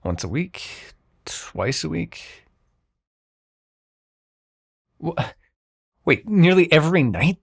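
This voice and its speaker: Immortal male dream spirit for ASMR roleplay, played by a man: gruffly caring, expressive pitch, fry-edged, mid-range voice. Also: heavily acted. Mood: awkward concern. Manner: brusque, exasperated, halting, softening into concern. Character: grumpy, reluctantly kind immortal male dream-bringer.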